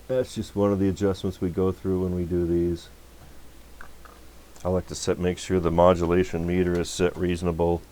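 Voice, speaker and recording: A man giving a casual hands-on workbench demonstration, unhurried and half muttering to himself as he works. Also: low voice, monotone, warm tone. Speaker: electronics repair hobbyist, channel host. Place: indoor electronics workbench.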